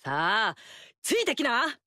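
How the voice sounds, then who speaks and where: A woman's voice: a little high, huge pitch swings, slow, sharp tone, dry recording, clean studio sound, exaggerated voice. An actress playing a fiery, confident warrior queen in a video game, with brash, teasing, tomboyish lines. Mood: proud.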